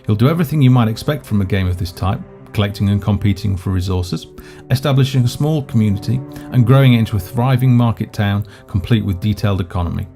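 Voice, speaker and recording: Male narrator for a game walkthrough: lowish, relaxed voice, measured scripted read. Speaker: game presenter. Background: background music.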